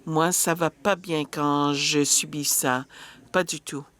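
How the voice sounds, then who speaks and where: Slow, low-voiced woman interpreting hesitantly. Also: fairly even intonation, a bit bright. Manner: hesitant, frequent filler sounds and restarts. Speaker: simultaneous interpreter at a panel. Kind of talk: live interpretation of a panel discussion.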